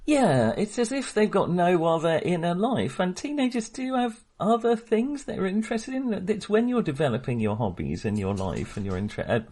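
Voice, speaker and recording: A man's voice: high-pitched, very animated, moderate pace, hardly any pauses, darkish tone. A man co-hosting a film podcast in casual discussion, talking hesitantly, often interrupting himself and rambling.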